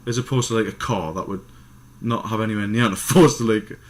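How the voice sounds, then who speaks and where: A man's voice: lowish voice, fast. A man with a Scottish accent chatting casually and informally as a podcast co-host, with some swearing. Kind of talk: casual chat.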